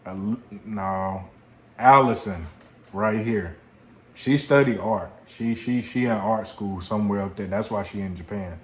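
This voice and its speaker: A man's voice: fairly low pitch, a little slow. An American man hosting a reaction video, commenting casually and jokingly in slangy, repetitive speech. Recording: roomy echo, some background noise.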